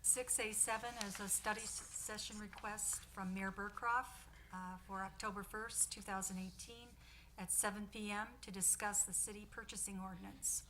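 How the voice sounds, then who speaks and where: A woman reads agenda items and public comment rules in a steady, procedural way. Her voice is bright and carries well. Her pitch sits in the middle range. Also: moderate pace, slight background noise, rough recording. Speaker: city clerk. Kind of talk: reading meeting rules and agenda. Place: council chamber.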